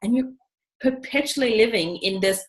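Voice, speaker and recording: A woman's voice: fairly high pitch, moderate pace. Chatty, enthusiastic woman host. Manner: enthusiastic, chatty, many "you know" fillers. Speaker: interview host. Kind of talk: casual video interview.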